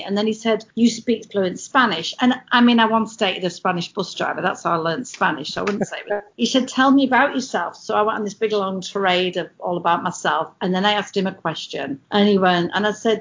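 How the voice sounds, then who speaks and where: A middle-aged English woman, a former cruise ship entertainer, telling lively stories in casual chat. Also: medium pitch, brisk, few pauses, muffled recording. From England. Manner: lively storyteller, animated quoted voices.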